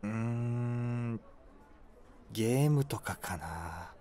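Clueless awkward guy in a comedy audio drama, talks slow, hesitant, mid-range voice. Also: mid-range voice, expressive, warm tone. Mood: vague, awkward. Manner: hesitant, noncommittal, trailing off. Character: vague, clueless, socially awkward man on a date.

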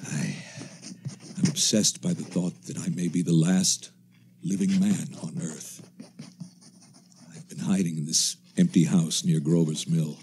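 An actor playing a haunted, weary professor and lone survivor, in a hushed, halting radio monologue. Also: male voice, low-pitched, a little hiss or hum. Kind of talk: dramatic first-person radio monologue.

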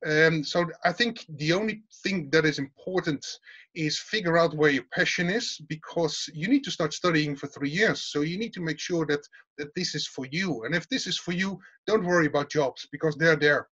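A middle-aged man speaks English with a Dutch accent, his voice pitched a little high for a man. He answers loosely and informally, often repeating words as he restarts. The sound is dull and lo-fi, as over a video call. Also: moderate pace, pushed and bright, slightly rough. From Netherlands. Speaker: university teaching fellow. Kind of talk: casual interview answers.

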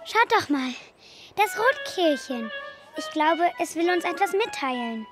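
Little girl, audio drama: very high, earnest, compassionate, trusting. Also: big pitch swings, unhurried, heavily acted. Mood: earnest, pleading. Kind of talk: German audio drama acting. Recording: music under the voice.

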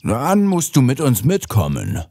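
A man voicing a friendly old donkey leader in a children's fairy tale cartoon, husky, with drawn-out, swooping delivery. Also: medium pitch, wildly swooping pitch, dark tone, dry recording, studio quality, exaggerated voice. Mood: friendly, encouraging.

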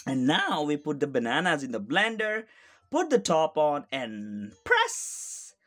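Storybook mother tiger played by a woman: encouraging, reassuring, unhurried, highly melodic. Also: big pitch swings, a bit bright, soft background music, exaggerated voice. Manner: coaxing, reassuring.

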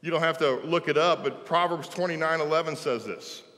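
A middle-aged pastor, preaching an animated, folksy sermon from a pulpit in a large church sanctuary. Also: male voice, a little high, fairly quick. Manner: animated preaching, folksy with "y'all", gestures while speaking. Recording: long church reverb.

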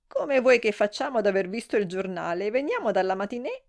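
A woman voicing a brash, gossipy middle-aged woman who speaks in shrill, exclamatory outbursts. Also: very animated, brisk, gravelly.

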